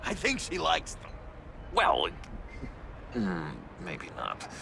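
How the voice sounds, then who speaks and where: Unhinged lovestruck game villain, slow n creaky, breathy rambling w sudden outbursts. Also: male voice, mid-range voice, huge pitch swings, noisy background, full character voice. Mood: smitten, torn. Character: lovesick, unstable, eccentric young male criminal. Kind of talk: video game voice acting.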